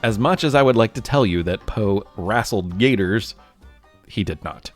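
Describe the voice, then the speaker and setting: An American man hosts a history podcast in a fairly low, very animated voice. He reads scripted narration like a storyteller addressing young listeners, with faint music underneath. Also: moderate pace. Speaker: podcast host.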